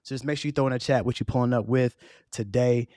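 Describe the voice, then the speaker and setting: A man hosting a podcast in a casual, slangy way, his voice hoarse and fading. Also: medium pitch, fairly even intonation, fast, mellow, no room sound, clean studio sound. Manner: laid-back, slangy, conversational. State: losing his voice. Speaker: podcast host.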